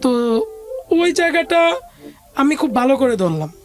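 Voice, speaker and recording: A woman's voice: sits high, very animated, unhurried, faint music behind. A woman with a Bangladeshi accent recounting her life story conversationally as an interview guest, mixing in English words. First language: Bengali.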